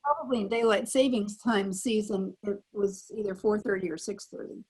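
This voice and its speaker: An older woman talks casually about her past as a panel guest. Her voice is husky and a little worn, at an average pitch for a woman. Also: normal speed, darkish tone, low-quality recording. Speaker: panel guest, former child radio actress.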